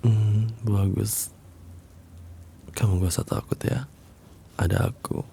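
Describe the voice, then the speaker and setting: A creepy, obsessive young man, played by a man, murmurs in a hushed, cooing way and lets out low chuckles. His very deep voice is gravelly and husky, and he drawls everything slowly. It sounds like an intimate ASMR roleplay. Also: steady tone, slight background noise. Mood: soothing menace. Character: obsessive young man secretly haunting your bedroom.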